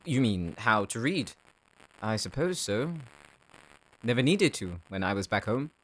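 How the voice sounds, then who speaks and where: A man's voice: fairly low pitch, very animated, unhurried. A man voicing an earnest, homesick tech worker from a space colony in an audio roleplay, explaining things in a sometimes faltering way. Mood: wistful. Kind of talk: anime-style audio roleplay acting.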